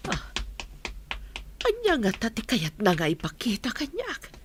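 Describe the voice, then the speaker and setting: A woman's voice: on the high side, huge pitch swings, measured pace, a little hiss or hum. A woman playing a curious, doting older mother in a radio drama, with an Ilocano accent. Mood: curious, puzzled.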